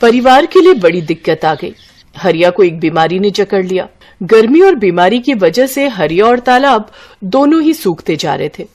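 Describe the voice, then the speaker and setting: Give the voice of a woman storyteller with a mid-range pitch. She narrates a scripted tale, carrying the story along as its narrator. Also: sing-song, normal speed, a little mellow.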